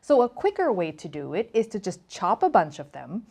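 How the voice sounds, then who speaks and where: A woman's voice: mid-range voice, wildly swooping pitch, moderate pace, gravelly, darkish tone. A woman from Thailand hosting a casual cooking tutorial, talking to camera in a chatty, playful, emphatic way. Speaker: cooking show host.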